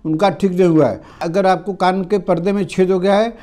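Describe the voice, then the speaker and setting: A man's voice: high-pitched, fairly even intonation, fairly quick, dark tone. An older man from India, a homeopathy doctor, explaining remedies straight to camera in a plain, repetitive teaching manner, recorded on a lapel mic in a studio. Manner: addresses viewers as friends, repetitive explanatory phrasing. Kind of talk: direct-to-camera health lesson. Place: indoor studio before a green screen.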